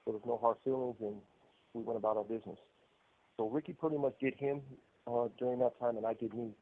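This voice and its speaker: American male inmate caller, candid personal storyteller, heard through a thin, muffled telephone line. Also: medium pitch. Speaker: prison inmate caller telling his story. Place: prison phone call. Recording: muffled narrow-band prison phone line.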